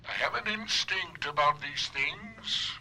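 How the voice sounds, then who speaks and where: An actor playing a stern, formal old professor in a 1970s radio drama. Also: male voice, on the high side, sharp tone, slightly rough, full character voice. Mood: stern, confident. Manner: formal, deliberate. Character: stern, self-assured Italian professor, fierce old inventor.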